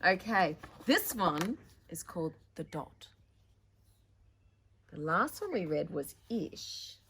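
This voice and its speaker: A woman's voice: lowish voice, big pitch swings, slow, a bit bright, slight background noise. A woman presenting a children's storytime, reading a picture book theatrically with dramatic emphasis and chatty asides to young listeners.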